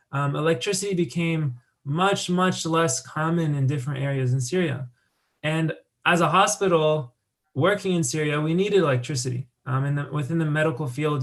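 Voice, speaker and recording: A young American man giving a conference talk about his work, speaking loosely with many fillers and restarts. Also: a little high. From United States. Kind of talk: conference presentation with slides.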